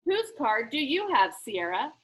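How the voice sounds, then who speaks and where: A woman's voice: high-pitched, very animated, measured pace, a bit bright, heavily acted. A woman voicing a smug, falsely polite, scheming boy in a children's storybook. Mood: mock-innocent. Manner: smooth-talking, boastful, mock-innocent. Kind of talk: children's book read-aloud.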